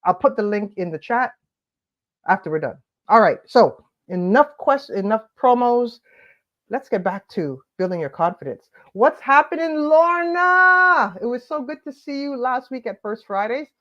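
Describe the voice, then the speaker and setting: A woman's voice: on the high side, sing-song, a little slow, fairly bright tone, highs cut off. A woman hosting a live stream, giving upbeat coaching tips and greeting viewers by name, her voice nasal and stuffed up from allergies. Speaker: host of a daily live stream on confidence.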